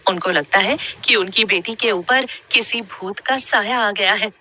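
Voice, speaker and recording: A woman storyteller reads a scripted horror tale. Her voice sits at a middle pitch with a very bright, intense edge. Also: unhurried.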